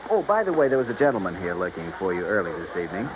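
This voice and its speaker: A man's voice: sits low, big pitch swings, very fast. A male actor playing a polite, slightly fussy and hesitant hotel desk clerk in an old radio drama. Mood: courteous. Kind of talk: 1950s-60s radio drama acting. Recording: muffled, noisy old-time radio recording.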